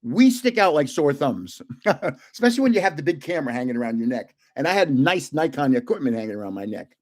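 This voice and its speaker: A man's voice: big pitch swings, fast. A man in his seventies hosting a casual livestream, telling rambling anecdotes with frequent laughter. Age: 70s. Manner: self-described booming voice when yelling. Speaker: livestream host opening trading cards. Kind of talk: casual storytelling chat.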